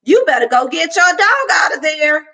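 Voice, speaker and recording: A woman's voice: high voice, big pitch swings, moderate pace, creaky, sharp tone, pitched above the performer's own voice, full character voice. A woman voicing a teasing, bossy ten-year-old boy who hollers his warnings. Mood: taunting. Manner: hollering, taunting.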